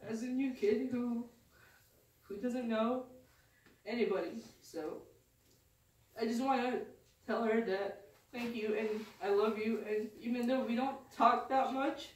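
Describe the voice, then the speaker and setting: Slow, halting teenage girl from the Philippines. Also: a little high, fairly even intonation, darkish tone, recorded from a distance. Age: teens. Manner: halting, hesitant, with many restarts. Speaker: student speaker. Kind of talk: personal testimony speech. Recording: echoey room, mic from afar, faint noise.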